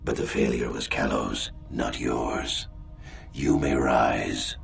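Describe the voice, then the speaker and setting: An actor playing a menacing, imperious Sith lord in a video game, with a gravelly voice. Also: male voice, a bass voice, slow pace, exaggerated voice. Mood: cold menace. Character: menacing Sith lord villain, cold commanding master. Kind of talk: scripted video game acting. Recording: music behind the voice.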